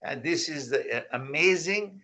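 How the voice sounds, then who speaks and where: A man hosting an online real estate conference over a video call, talking in a halting way with repeated words. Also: fairly high pitch, animated, bright, forceful tone. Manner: halting, repeats words, non-native phrasing. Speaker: host and founder of the conference. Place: Mississauga near Toronto.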